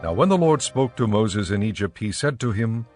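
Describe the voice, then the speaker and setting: A man narrating scripture from a script in a measured, formal storytelling manner. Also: lowish voice, animated, a little mellow, faint music behind.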